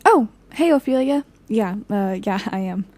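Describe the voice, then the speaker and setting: Actress as young woman friend, mellow timbre, medium pitch, candid, weary, conversational drama delivery. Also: animated, measured pace, no room sound. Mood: casual. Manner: casual, candid. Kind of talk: audio drama dialogue.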